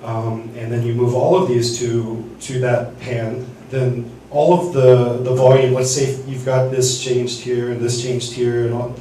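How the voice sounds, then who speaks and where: A man's voice: medium pitch, flat delivery, warm tone, slight background noise. Guy explaining a mixing board, lots of ums and restarts, big echoey church hall, mic kinda far off. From United States. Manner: halting, many restarts and fillers. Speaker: seminar speaker. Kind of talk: teaching sound-desk basics.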